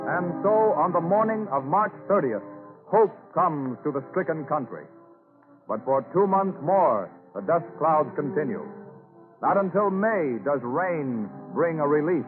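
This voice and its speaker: A man delivers dramatic scripted narration in 1940s radio manner. His pitch is lively and varied, and the sound is narrow-band with faint music behind. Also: unhurried.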